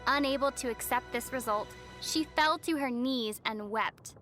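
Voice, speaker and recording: A woman plays a young female adventurer from a video game, gentle and thoughtful. Her voice is high and girlish, delivered softly in a measured, musing way, with music underneath. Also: natural voice. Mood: storytelling. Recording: music behind the voice.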